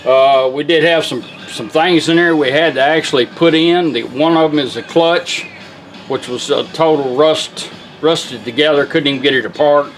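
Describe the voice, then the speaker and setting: A man's voice: a little high, normal speed, soft background music, rough recording. A plainspoken man, casually demonstrating a product he restored. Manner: folksy, plainspoken.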